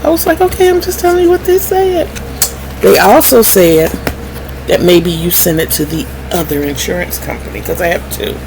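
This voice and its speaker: A middle-aged woman telling personal stories candidly to viewers in a wry, animated manner, acting out remembered conversations. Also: lowish voice. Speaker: vlogger. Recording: clearly noisy background hum.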